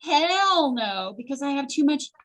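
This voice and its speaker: A woman's voice: high voice, big pitch swings, unhurried, fairly bright tone, low-quality recording. A middle-aged woman speaking as host and career coach in a motivational online talk, earnest and conversational, addressing her listeners directly. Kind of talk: motivational webinar presentation. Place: online video call.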